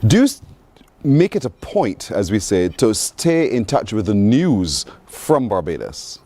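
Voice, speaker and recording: A male morning show host interviews a guest with lively, encouraging questions. His voice is mellow and warm in tone, and it rises and falls in an animated way. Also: fairly low pitch, a little slow.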